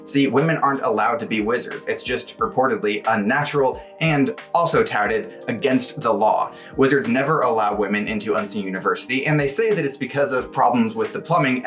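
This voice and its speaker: A man's voice: mid-range voice, fairly bright tone. A man hosting a book review video, giving casual, chatty commentary with wry asides. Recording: roomy, echoing home recording.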